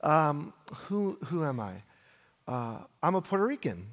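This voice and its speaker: Male American pastor, higher-than-average voice, informal conversational preaching with rhetorical questions, long hall reverb. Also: sing-song, unhurried. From United States. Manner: informal, rhetorical questioning, repeats phrases for emphasis. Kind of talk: conversational sermon. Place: large reverberant room, preaching live.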